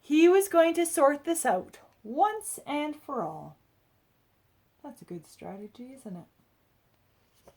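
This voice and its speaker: A woman reading a picture book aloud to children, with expressive dramatic emphasis and chatty asides to her listeners. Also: sits high, slow pace, long pauses.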